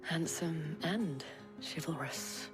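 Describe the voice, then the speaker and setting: A woman playing a poised, wry lady in a fantasy game, soft-spoken and measured. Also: low voice. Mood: wry, grateful. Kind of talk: dramatic fantasy game voice acting. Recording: music under the voice.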